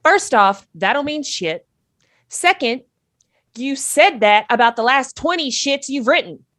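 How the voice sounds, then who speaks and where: A woman's voice: high voice, expressive, unhurried, a bit bright, no echo. A woman playing a blunt, sardonic, unimpressed girlfriend in a comedy table read. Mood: exasperated, angry. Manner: blunt, dry, dismissive.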